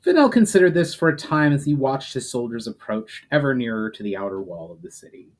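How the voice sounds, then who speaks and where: A man's voice: medium pitch, animated, fairly quick. A man who hosts a story session as an author, presenting and reading his own fantasy writing aloud. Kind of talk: informal introduction, then dramatic story narration.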